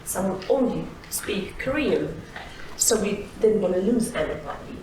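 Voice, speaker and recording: A woman talking on a seminar panel, halting and restarting her sentences with frequent fillers. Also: mid-range voice, expressive, darkish tone. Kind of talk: conversational panel talk. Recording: echoey hall, distant mic, faint noise.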